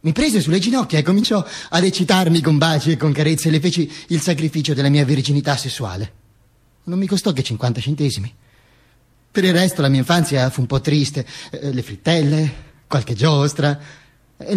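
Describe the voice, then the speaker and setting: A vain dictator speaking from beyond the grave. The voice is notably high for a man and rough-edged, husky. He holds forth pompously in a theatrical monologue, stumbling and repeating words. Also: darkish tone. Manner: pompous, halting, with stammered repetitions and asides. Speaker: stage actor performing a monologue.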